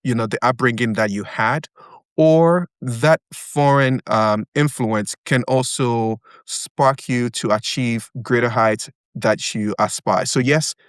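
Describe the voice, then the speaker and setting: A man's voice: mid-range voice, dry recording, clean studio sound. A man in his fifties, born in Ghana, speaking as a guest in an interview with frequent hesitations. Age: 50s. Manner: measured, many hesitations. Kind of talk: interview conversation.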